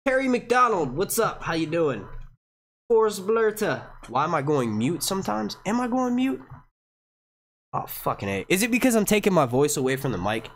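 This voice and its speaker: A man hosting a casual live Q&A stream, talking excitably and swearing freely into a gated streaming mic. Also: high voice, normal speed. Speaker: livestream host. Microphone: streaming mic with noise gate.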